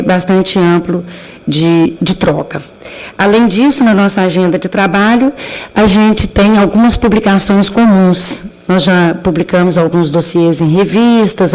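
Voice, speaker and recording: A woman with a Brazilian Portuguese accent giving a formal, measured talk at an academic round table, pausing with fillers and restarts. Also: on the low side, a little mellow, rough recording. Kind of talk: formal conference presentation. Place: university lecture amphitheater.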